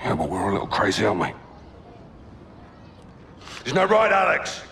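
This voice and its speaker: An actor playing a gruff, steely British military captain in a video game, terse and commanding. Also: male voice, fairly low pitch, wildly swooping pitch, normal speed, a little hiss or hum, full character voice. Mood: low, resolute. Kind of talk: cinematic military game acting. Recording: loud score and effects behind. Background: music, vehicles, helicopter.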